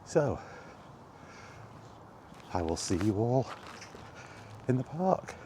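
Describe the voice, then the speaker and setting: A middle-aged man giving a casual, rambling chat as he walks outdoors, in an unhurried, offhand manner. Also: medium pitch, sing-song, warm tone. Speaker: video game vlogger. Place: outdoors, walking toward a park. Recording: outdoor walking recording with background noise.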